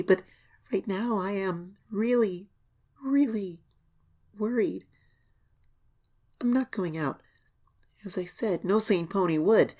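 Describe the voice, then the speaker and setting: A woman voicing an anxious young pony filly, reading her diary as an expressive, hesitant horror story narration. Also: fairly low pitch, creaky, full character voice. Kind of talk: dramatic first-person horror story reading.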